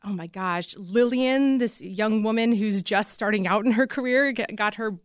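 A woman's voice: medium pitch, moderate pace, no room sound. Woman chatting in a dry, close recording. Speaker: interview guest, casting director. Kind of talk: casual conversational interview answers.

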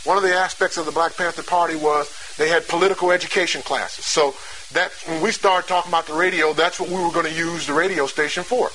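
Bright, higher-pitched American man speaking publicly. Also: fairly even intonation, fast talker, rough recording. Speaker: activist broadcaster giving a talk. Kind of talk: public talk.

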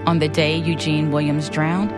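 Low-voiced woman narrator. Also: level tone, moderate pace. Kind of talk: scripted documentary drama narration. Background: music.

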